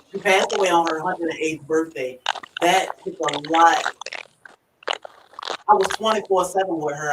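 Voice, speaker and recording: A woman with a rural Virginia accent hosting a casual livestream, chatty and quick to laugh as she greets viewers by name. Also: low-pitched, noisy background. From Virginia, USA. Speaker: livestream host. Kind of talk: casual live chat. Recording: echoey room, far from the mic.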